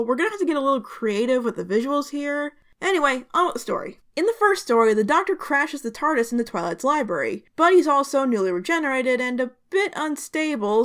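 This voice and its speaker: Higher-voiced woman reviewing as a fan. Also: fairly bright tone. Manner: enthusiastic fan, conversational. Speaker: host of a review channel. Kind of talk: scripted review narration.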